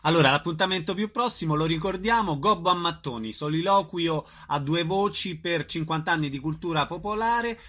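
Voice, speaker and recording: A woman's voice: fairly low pitch, a little fast, hardly any pauses, fairly bright tone. A woman hosting a radio interview. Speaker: radio show host.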